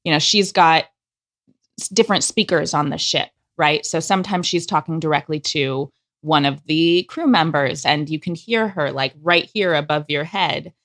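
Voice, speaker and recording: A woman's voice: deep voice, normal speed, a bit bright. A woman speaking as a creative guest in an interview, explaining things emphatically and with enthusiasm. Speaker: guest, audio drama creator.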